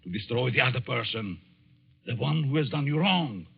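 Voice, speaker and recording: Coolly menacing professor, swooping vintage radio voice. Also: male voice, mid-range voice, lively intonation, gravelly, slightly rough, soft background music. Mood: insistent, persuasive. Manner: deliberate, probing, theatrical. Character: smooth, menacing middle-aged psychology professor, coolly persuasive. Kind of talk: 1940s radio thriller acting. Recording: dull vintage 1940s radio recording.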